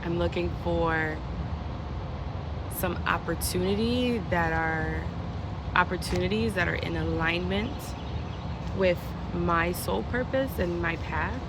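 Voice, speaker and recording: A woman giving a casual, unedited tarot reading outdoors, talking in a chatty, meandering way, her voice a little hoarse. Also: lowish voice, takes it slowly. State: slightly hoarse, recovering from lost voice. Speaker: tarot reader. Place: outdoors in a city, traffic nearby. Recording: outdoor traffic noise.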